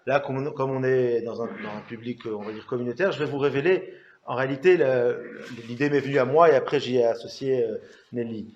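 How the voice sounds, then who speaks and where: A man from France, a rabbi, speaking informally at a book talk, haltingly and often repeating words. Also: medium pitch, a little mellow, slight background noise. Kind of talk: informal spoken anecdote to an audience. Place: indoor talk venue. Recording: echoey room, dull sound.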